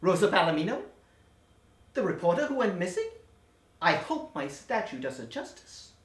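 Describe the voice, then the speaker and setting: A woman's voice: fairly low pitch, very animated, moderate pace, far from the mic. A woman voicing a sly male villain professor who runs a wax museum, suave and theatrical with sudden menace. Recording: roomy echo, voice set back from the mic.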